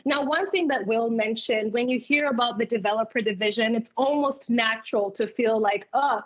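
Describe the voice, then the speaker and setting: A woman in her thirties from Uganda, giving a clear, organized keynote talk at a virtual hiring event. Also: a little high, moderate pace. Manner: clear, organized presenter, speaking in lists. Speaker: keynote speaker and product manager. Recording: muffled video call audio.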